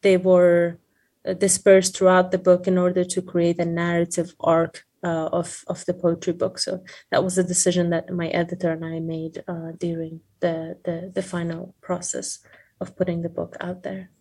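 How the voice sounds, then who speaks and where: A woman with an Afghan accent and Persian as her first language answers interview questions. Her voice is fairly low and stays quite flat in melody. She speaks reflectively and hesitantly, searching for the right words. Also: moderate pace, slightly rough. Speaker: poet, interview guest.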